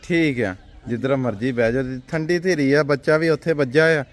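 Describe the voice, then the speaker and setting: A man's voice: medium pitch, normal speed. A man hosting a livestock sale video, with a friendly, promotional manner, talking outdoors in a farmyard. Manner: friendly, brief acknowledgments, promotional. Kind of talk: casual interview.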